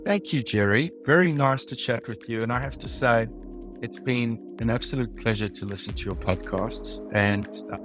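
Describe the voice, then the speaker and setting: A man with a South African accent, a design leader speaking as a podcast guest in a thoughtful, measured way. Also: mid-range voice, fairly bright tone, faint music behind. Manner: thoughtful, measured, some ums and uhs. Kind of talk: podcast interview.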